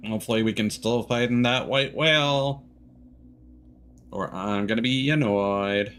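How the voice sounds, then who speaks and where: A man's voice: mid-range voice, unhurried, fairly bright tone, slight background noise. A man giving casual, wry gameplay commentary as a streamer, in a laid-back way. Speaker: game streamer.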